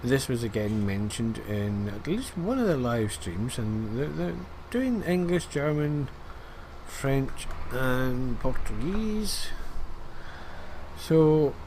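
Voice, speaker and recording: Guy chatting through his game, lots of ums, faint vehicle hum behind, mid pitched. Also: very animated, takes it slowly. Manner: rambling, self-deprecating, many fillers. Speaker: gaming video creator. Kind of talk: casual gameplay commentary.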